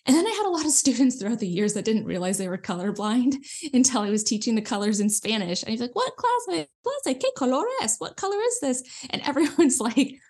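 An American woman chatting casually and telling stories in an animated, informal way as an interview guest. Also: fairly high pitch, a little fast. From rural Nebraska, United States. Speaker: interview guest, former teacher. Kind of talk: casual chat, telling anecdotes.

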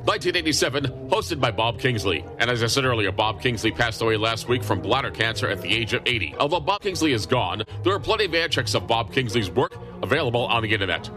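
A man hosts a live radio talk show about radio history. He speaks briskly at a middling pitch, with music clearly heard behind him. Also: very animated.

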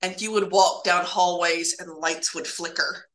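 Woman guest, bright voice, casual halting storyteller of eerie hospital tales, echoey room sound. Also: lowish voice, steady tone. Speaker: guest telling stories of working in hospital laboratories.

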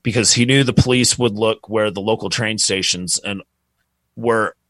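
A man's voice: lowish voice, moderate pace, no room sound. Casual man telling a story. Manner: relaxed, rambling, swears freely. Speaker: podcast co-host telling a true crime story.

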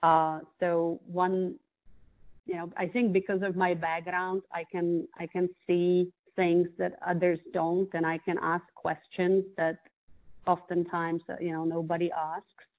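A woman's voice: on the low side, level tone, measured pace. A woman from the Czech Republic with a Czech accent, a guest in an interview, speaking thoughtfully with frequent restarts. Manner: thoughtful, many restarts and fillers. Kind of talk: interview conversation. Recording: phone-like narrow band.

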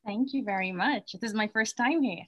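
A woman from Trinidad, a Spanish coach, introducing herself on a call in a friendly way, quick to laugh. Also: a little high, no echo, highs cut off. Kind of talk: guest self-introduction on a call.

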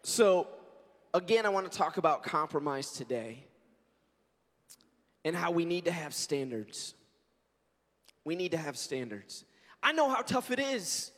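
A man in his forties, a pastor, preaching a sermon in an earnest, conversational way and telling stories, his voice hoarse and scratchy. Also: a little high, sing-song, a little slow, long pauses, a bit bright. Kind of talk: sermon with prayer and scripture reading. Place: church sanctuary. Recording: long reverb of a large church hall.

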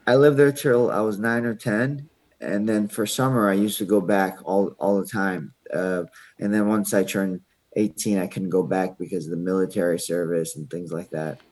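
Man, low voice, podcast guest, from Iran, relaxed, easygoing, fillers. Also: moderate pace. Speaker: podcast guest, MMA fighter. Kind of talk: casual interview chat.

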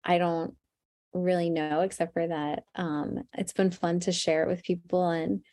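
A woman musician talking in an interview, soft-spoken and thoughtful with many fillers. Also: fairly low pitch, flat delivery, measured pace, no echo, studio quality. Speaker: musician guest. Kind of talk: casual interview.

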